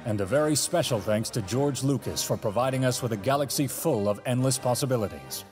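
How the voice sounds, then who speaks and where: A man's voice: medium pitch, lively intonation, a little fast, darkish tone. A man reading scripted narration and credits as the announcer of an audio drama, in a dramatic style. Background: orchestral film music.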